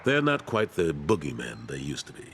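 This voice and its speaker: An actor playing an older, world-weary but steadfast military councilor in a video game. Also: male voice, very deep voice, wildly swooping pitch, moderate pace, creaky, a bit bright, slight background noise, exaggerated voice. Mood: matter-of-fact.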